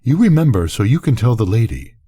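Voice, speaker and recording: An eager farm woman from a bedtime story, acted out by an older man. The delivery swings widely in pitch and has a soft, husky grain. The character is intense and passionate about growing things. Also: big pitch swings, a little fast. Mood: earnest, instructing.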